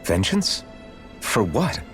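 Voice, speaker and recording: A man's voice: lowish voice, sing-song, slow, a bit bright, faint music behind, heavily acted. A man playing an earnest, resolute young male hero in a video game, with a blunt, determined way of talking. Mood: intense. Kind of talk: video game cutscene acting.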